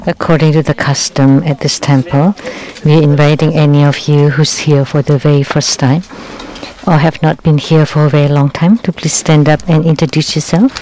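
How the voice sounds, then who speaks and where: A man acting as English interpreter and emcee at a temple ceremony, announcing in a measured, formal way and guiding the group through each step. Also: fairly high pitch, level tone, darkish tone, rough recording. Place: temple hall.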